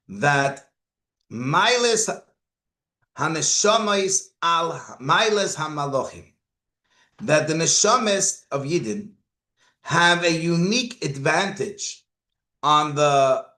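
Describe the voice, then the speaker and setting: A man's voice: fairly high pitch, fairly bright tone, echoey room. A man giving a Torah lecture as a rabbi, asking rhetorical questions and mixing Hebrew and Yiddish terms into his English. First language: not Hebrew. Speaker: rabbi teaching.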